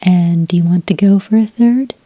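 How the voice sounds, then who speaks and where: A woman playing a friendly, patient witch enrollment clerk in an ASMR roleplay, speaking in a gentle, breathy whisper with an unhurried, helpful manner. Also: fairly low pitch, dry recording, phone-quality sound, natural voice. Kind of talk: soft-spoken ASMR roleplay.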